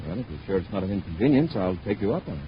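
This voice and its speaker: An actor playing a decisive, level-headed space patrol commander in a 1950s radio adventure serial. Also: male voice, sits low, big pitch swings, very fast. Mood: composed, firm. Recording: noisy muffled 1950s radio recording.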